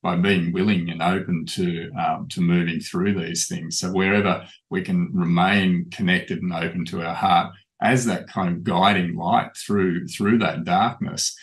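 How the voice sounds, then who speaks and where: A middle-aged man talks in a very low voice, calm and measured, on a home webcam. His coaching manner is unhurried and spiritual. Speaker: life coach. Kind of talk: reflective coaching talk to camera. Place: indoors in a small home room.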